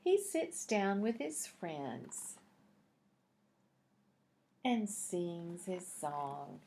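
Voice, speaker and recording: Female storybook narrator for little kids: slow delivery, slightly low voice, teacherly, invites answers with questions. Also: sing-song, warm tone, slight background noise. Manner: asks listeners questions, teacherly. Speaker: picture book read-aloud narrator. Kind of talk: storybook read-aloud for young children.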